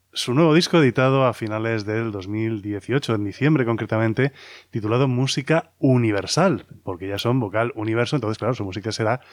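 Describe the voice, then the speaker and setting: A male radio host speaking in a measured, informative way, with a mid-range voice. His voice comes from a dry studio with no room sound. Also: mid-range voice, no echo. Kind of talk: radio music programme presenting.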